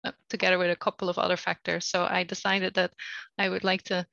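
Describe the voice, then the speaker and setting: A woman gives an informal panel introduction in a mid-pitched voice with plenty of creak. The recording is dry and a little dull in the top end. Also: monotone, fast talker, bright, forceful tone, dry recording. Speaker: panelist, research data steward.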